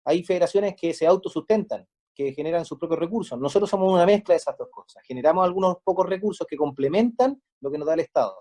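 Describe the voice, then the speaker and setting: Male interviewee, Chilean accent, high-set but soft-toned voice, methodical explainer in the manner of a sports official. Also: quick pace, few pauses. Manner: methodical, explaining step by step with examples. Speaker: sports federation manager being interviewed.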